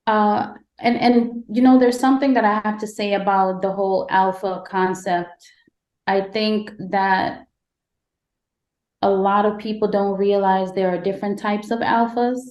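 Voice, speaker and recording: Female podcast guest from Guyana, average pitch, measured explanatory delivery, strong room echo. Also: fairly even intonation, a little mellow. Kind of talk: conversational interview answers. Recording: echoey room.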